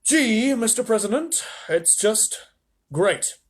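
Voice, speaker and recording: An earnest astronaut, as a man reads him from a storybook, making his report. He is folksy and plain-spoken, with a highish, lively delivery. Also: takes it slowly, heavily acted. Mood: awed.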